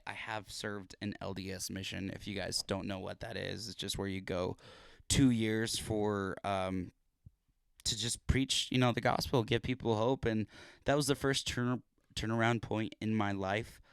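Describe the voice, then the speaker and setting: A young man with a low, smooth, clear voice, hosting a casual podcast chat. He talks earnestly, rambling a little with restarts and fillers. The sound is close and dry, straight into a podcast mic. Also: normal speed, no room sound. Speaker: podcast host.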